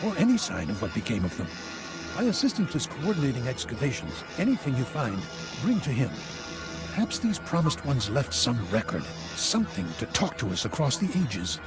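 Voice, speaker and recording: A man's voice: mid-range voice, wildly swooping pitch, fairly quick, rough recording. An actor playing a proud, earnest male historian who lectures in dramatic video game dialogue. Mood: earnest, impassioned. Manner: expository, lecturing. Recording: voice under very loud music.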